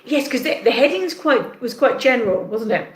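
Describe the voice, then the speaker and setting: A mid-pitched voice chats casually with a guest speaker's ease, often repeating words at the start of a thought. The sound is roomy and echoey, as on a home video call. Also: lively intonation, a little fast, fairly bright tone.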